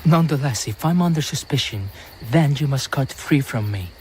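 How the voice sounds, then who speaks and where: An actor playing a cautious, protective young teacher in a radio drama, speaking in an earnest, guarded way. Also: male voice, mid-range voice, lively intonation, normal speed, a little mellow, a little hiss or hum, lightly acted. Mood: worried.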